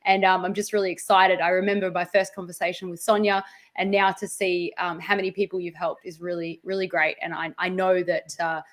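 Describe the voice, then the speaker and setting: A woman's voice: fairly low pitch, level tone, a little fast. A woman hosting a live stream over a video call, chatty and upbeat as she thanks guests and introduces the next speaker. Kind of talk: casual hosting chat.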